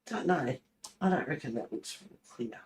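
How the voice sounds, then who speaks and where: A woman's voice: sits low, expressive, moderate pace. A woman hosting casually, musing aloud in short, loose phrases as she works on a hobby. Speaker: host of a colouring channel. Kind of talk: casual chat while colouring. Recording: distant mic, echoey room, faint noise.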